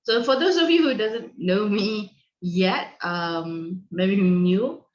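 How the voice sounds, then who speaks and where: A woman's voice: medium pitch, animated, slow, a little hiss or hum. A woman from Malaysia hosting an online teacher meeting on a video call, giving friendly instructions with frequent ums and restarts. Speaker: online session host and co-founder. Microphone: video call microphone. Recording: dull lo-fi echoey call audio.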